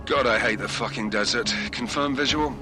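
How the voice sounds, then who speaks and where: An actor playing an irritable, foul-mouthed strike team leader in a video game, blunt and sardonic. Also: male voice, medium pitch, moderate pace, music in the background, natural voice. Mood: irritated. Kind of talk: video game cutscene acting.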